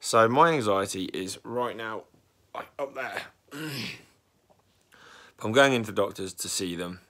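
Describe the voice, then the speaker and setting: Congested, raspy-voiced anxious man. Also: lowish voice, unhurried, fairly bright tone. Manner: anxious, rambling, with frequent throat clearing. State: congested and slightly raspy from a cold. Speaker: vlogger. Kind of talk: casual personal vlog.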